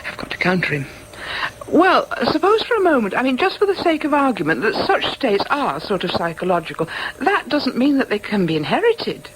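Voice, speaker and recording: A woman's voice: a little high, sing-song, brisk, a little hiss or hum, low-quality recording. A woman playing an anguished middle-aged mother in a British radio drama, hesitant and agitated, thinking aloud as doubts crowd in. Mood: doubting. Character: anguished, self-doubting mother clinging to her religious certainty. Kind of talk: British radio drama acting with inner monologue.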